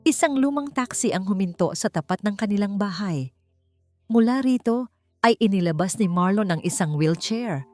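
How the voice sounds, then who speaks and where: A woman with a Filipino accent narrating a scripted dramatic story in a solemn, emphatic, deliberate way. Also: mid-range voice, expressive, darkish tone, no echo, studio quality. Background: background music.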